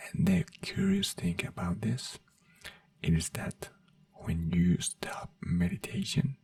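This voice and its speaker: Man whispering asmr doctor roleplay, very low gravelly voice, slow halting phrasing, dry slightly distant mic. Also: dark tone, no echo. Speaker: ASMR creator playing a neurologist.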